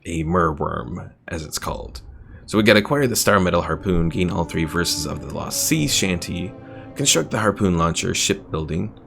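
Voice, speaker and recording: A man streaming a strategy game, chatting casually, thinking out loud and reading chat messages. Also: low voice, expressive, moderate pace, gravelly, faint music behind. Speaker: gaming streamer. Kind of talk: casual gameplay commentary.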